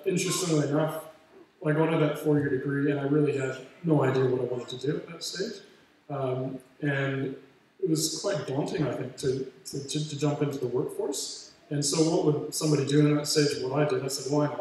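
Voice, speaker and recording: A man's voice: fairly high pitch, darkish tone. A man around forty with a Canadian accent gives an informal, self-effacing graduation speech in a large hall, speaking hesitantly. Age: late 30s to early 40s. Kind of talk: informal motivational speech with personal story. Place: large hall with a big audience. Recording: very echoey hall, distant, faint noise.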